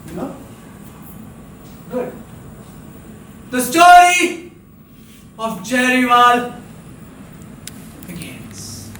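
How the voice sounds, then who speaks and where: A male stage actor playing an intense, menacing, lonely storyteller who rambles through a dramatic monologue with halting repetitions. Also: very high-pitched, big pitch swings, bright, forceful tone, slight background noise, exaggerated voice. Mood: ominous, deliberate. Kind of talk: live theatrical stage monologue. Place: live stage in a theatre. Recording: echoey theatre from far off, music behind.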